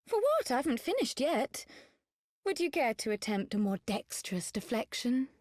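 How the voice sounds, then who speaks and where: High-voiced, articulate scholar woman. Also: sing-song, moderate pace, darkish tone, no echo, studio quality. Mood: playful, teasing. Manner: teasing, eloquent, crisp formal phrasing. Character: small, perky, teasing young woman scholar, articulate and confident. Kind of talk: fantasy video game acting.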